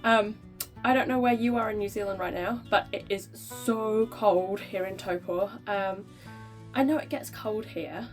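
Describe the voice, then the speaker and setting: A woman in her thirties talks her way through a gardening vlog, chatty and rambling, her voice nasal and stuffy from a cold. She sits at a middling pitch, with faint music under her. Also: measured pace. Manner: chatty, rambling, frequent ums. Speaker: gardening vlogger. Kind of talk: casual vlog chat. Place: backyard greenhouse.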